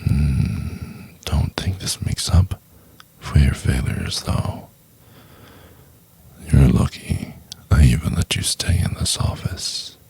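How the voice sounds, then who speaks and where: A man acts out a domineering, contemptuous male CEO for an intimate ASMR roleplay. His voice is extremely low and heavy with gravelly fry. He speaks slowly and deliberately, often dropping into a condescending, breathy half-whisper. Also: darkish tone, exaggerated voice. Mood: domineering, mocking.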